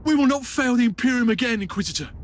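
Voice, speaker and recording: A woman's voice: fairly high pitch, lively intonation, fast, noisy background, lightly acted. A woman playing a frightened, repentant rebel soldier in a video game, with dramatic delivery.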